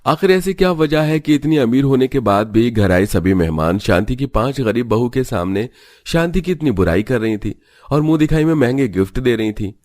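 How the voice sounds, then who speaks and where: A man's voice: mid-range voice, animated, normal speed, a little mellow, dry recording, studio quality. A man narrating a scripted cartoon family story as the storyteller.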